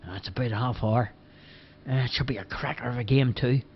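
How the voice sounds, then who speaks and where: A man's voice: mid-range voice, fairly quick, a little hiss or hum, natural voice. A man voicing a blunt, sensible grown man who lectures in a matter-of-fact way. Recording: dull lo-fi recording.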